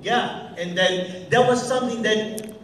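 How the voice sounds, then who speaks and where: A man's voice: sits high, measured pace, a bit bright, a little hiss or hum. A man with a Brazilian accent, a Portuguese speaker, hosting a teacher training event on stage, talking with many fillers and restarts. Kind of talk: on-stage talk. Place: large hall, on stage. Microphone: far audience camera. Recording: echoey hall from far off.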